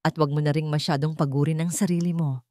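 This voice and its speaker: A woman with a Filipino accent voicing a frail teenage girl, a gentle, concerned younger sister in a story drama. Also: low-pitched, steady tone, fast talker, no room sound, close to an everyday voice.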